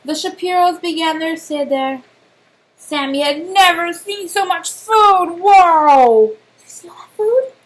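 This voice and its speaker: High-voiced woman reading to children. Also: low-quality recording. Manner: expressive, pauses to question young listeners. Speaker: teacher reading aloud to children. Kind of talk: children's storybook narration.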